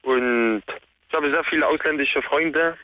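Guy from saxony-anhalt phoning into radio, sharp bright voice, muffled phone sound, casual. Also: fairly high pitch, a little slow, dry recording. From Saxony-Anhalt, Germany. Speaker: radio show caller. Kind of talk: casual phone-in opinion talk. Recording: dull lo-fi phone call.

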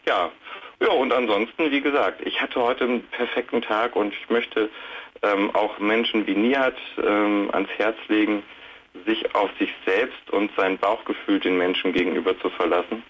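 A man in his late thirties speaks on a radio phone-in in a fairly low voice. He is reflective and conciliatory. The phone sound is dull, with faint noise behind it. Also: fairly even intonation, moderate pace, a bit bright. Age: late 30s. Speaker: radio show caller. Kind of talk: casual reflective phone-in talk.

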